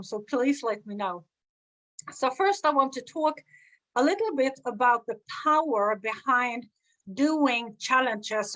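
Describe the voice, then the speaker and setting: Swedish-accented woman, higher voice. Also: low-quality recording. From Sweden. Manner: earnest and energetic, with frequent "right?" and "uh" fillers. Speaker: online business coach. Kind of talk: live-streamed teaching talk.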